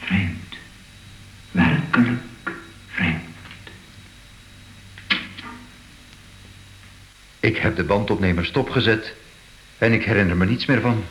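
An actor playing a sober, thoughtful art investigator in a radio drama, speaking in a measured, pondering way. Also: male voice, on the low side, natural voice. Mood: puzzled, calming. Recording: echoey, faintly noisy old radio play.

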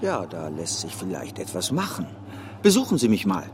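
Lively, brisk speculator amid street noise. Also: male voice, low-pitched. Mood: brisk, businesslike. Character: brisk male financial speculator, confident and scheming. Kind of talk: radio drama dialogue. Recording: clear street and vehicle noise behind. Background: outdoor street ambience with vehicles.

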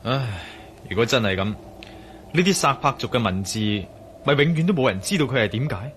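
An actor with a Hong Kong Cantonese accent playing a determined, brooding male adventurer in a radio drama, expressive and given to musing aloud. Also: mid-range voice, moderate pace, a little hiss or hum, natural voice. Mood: grave, insistent.

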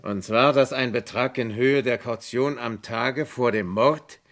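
An actor playing a cautious, sober police investigator in a vintage German crime radio play, speaking in a deliberate, sceptical manner. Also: male voice, medium pitch, fairly bright tone, lightly acted. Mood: measured, cautioning. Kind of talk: mid-20th-century German crime radio drama acting.

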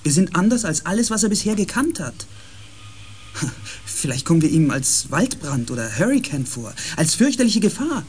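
A thoughtful male scientist in a science fiction radio drama. His voice is high and very animated. He questions and reasons aloud with emphasis. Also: moderate pace, slight background noise. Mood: reflective. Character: thoughtful, inquisitive scientist on space expedition.

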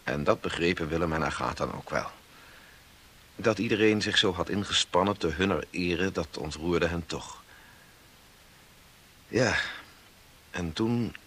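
A man's voice: sits low, a little slow, long pauses, fairly bright tone, husky, heavily acted. An older actor playing a devout, reflective grandfather who narrates his family's story earnestly and with measure in a 1960s radio drama. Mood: reflective, contented.

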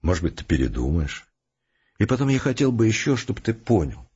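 An actor playing a calm, determined scientist who explains things earnestly and deliberately in an audio drama. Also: male voice, fairly low pitch, breathy edge, heavily acted. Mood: resigned, gentle. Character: resolute philosophical male scientist, calm, determined, reasoning patiently. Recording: muffled, with prominent music behind. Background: music.

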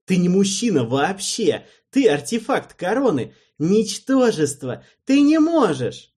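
A man's voice: sits high, animated, unhurried, voice pushed up. A man voicing a hysterical, spiteful young male king who throws childish tantrums. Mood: mocking. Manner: shrieking, petulant, childish tantrums.

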